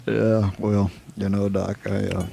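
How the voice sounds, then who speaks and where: A man playing a laconic, easygoing frontier marshal in a western radio drama, with a halting, folksy, gravelly delivery. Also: fairly low pitch, level tone, mellow, heavily acted. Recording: clearly noisy old 1984 amateur recording.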